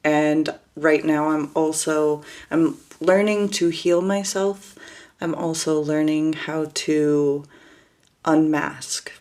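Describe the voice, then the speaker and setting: A woman talking casually to camera as she introduces herself, in a monotone voice with hesitant pauses and restarts. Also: deep voice. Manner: monotone, flat delivery; hesitant, many fillers and restarts.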